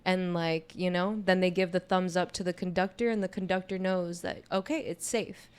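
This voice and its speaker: A young adult woman with a naturally low voice chats casually on a podcast, speaking in a candid, informal way peppered with "you know". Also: fairly even intonation, moderate pace, a little mellow, clear, resonant tone. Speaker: podcast co-host. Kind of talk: casual conversational podcast chat.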